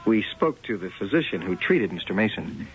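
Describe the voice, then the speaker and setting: An actor playing a probing, laconic police lieutenant in a vintage radio drama, heard on a muffled old broadcast. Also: male voice, lowish voice, sing-song, moderate pace, soft background music. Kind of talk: 1970s radio drama acting.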